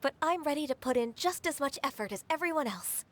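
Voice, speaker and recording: A woman playing a composed, earnest young woman in a game cutscene, measured and sincere. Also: high voice, expressive, very noisy. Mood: determined. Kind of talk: video game cutscene acting.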